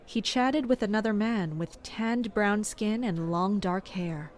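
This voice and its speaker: A woman playing a guarded, watchful palace servant who narrates a fantasy audio drama in a measured, serious way. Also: mid-range voice, lightly acted. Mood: observant, composed. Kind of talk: scripted first-person audio drama narration.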